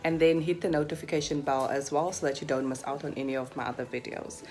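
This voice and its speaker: A woman's voice: deep voice, fairly even intonation, quick pace. A woman in her thirties with a Cape Town South African accent, chatting informally to her viewers as a vlogger, with emphatic little asides. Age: 30s. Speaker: YouTube vlogger. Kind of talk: casual chat to camera, question-and-answer. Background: background music.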